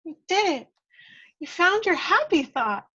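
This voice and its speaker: A woman playing a tiny, spirited, encouraging fairy in an animated way at a script reading. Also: very high-pitched, a little slow, muffled recording, voice pushed up, exaggerated voice. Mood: gently encouraging.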